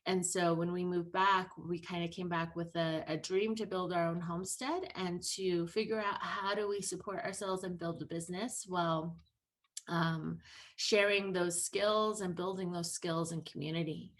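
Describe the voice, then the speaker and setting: A woman's voice: on the low side, steady tone, normal speed. A woman in her thirties giving a loose, earnest presentation on a video call, with many ums and restarts. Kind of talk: online presentation talk. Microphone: laptop mic on video call.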